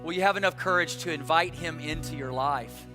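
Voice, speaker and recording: A pastor preaching an Easter sermon, energetic and full of rhetorical questions that call the listeners to respond. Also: male voice, a little high, fairly quick. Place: large church auditorium. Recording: reverberant hall with faint music.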